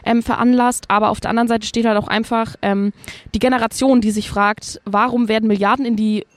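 A woman's voice: fairly high pitch, steady tone, fairly quick, no echo. A woman speaking as a reporter and host in a conversational discussion.

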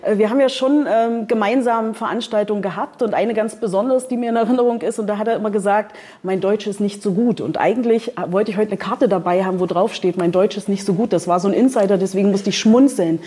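A woman's voice: medium pitch, fast, hardly any pauses, warm tone, husky. A woman, a publisher moderating a book presentation in front of an audience, chatty and hesitant with many fillers. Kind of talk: casual event moderation. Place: event venue with audience.

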